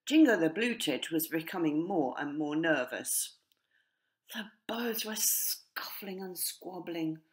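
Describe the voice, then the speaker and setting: A woman narrating a children's story as its author, expressive and clearly scripted. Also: low-pitched, takes it slowly. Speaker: author reading her own story.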